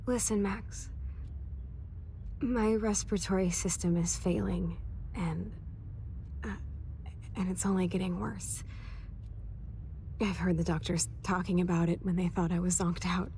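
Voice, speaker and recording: An actress playing an ailing young American woman in a video game, soft and casual, sentimental and weary as she talks to a friend. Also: fairly low pitch, fairly even intonation, slow pace, long pauses, slight background noise. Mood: grave, resigned. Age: young adult. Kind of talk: naturalistic video game cutscene acting.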